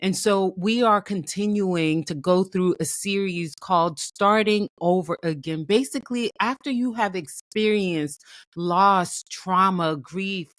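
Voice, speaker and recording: A woman's voice: medium pitch, measured pace, fairly bright tone, no echo, clean studio sound. A woman from Haiti hosting her own show, telling her story in an earnest, reflective way and often repeating her phrases. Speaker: host.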